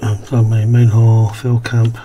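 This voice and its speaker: A man with a croaky, slightly coughing throat, casually commentating a game, reading on-screen text aloud and muttering to himself as he plays. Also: on the low side, completely flat delivery, a little slow, noisy background. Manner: mumbles to himself while playing, reads game text aloud. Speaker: gaming video host. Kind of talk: casual let's-play commentary.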